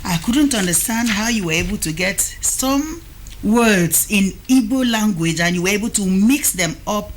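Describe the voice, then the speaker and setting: A woman hosting a TV studio interview, speaking in a measured way and reading poems aloud slowly. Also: mid-range voice, lively intonation, slight background noise. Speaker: TV talk show host.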